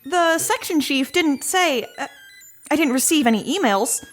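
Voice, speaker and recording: High-voiced, eager agency secretary. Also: female voice, animated, measured pace. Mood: anxious, polite. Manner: sweet, deferential, hesitant. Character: polite, eager, anxious female agency secretary. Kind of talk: comic audio drama acting.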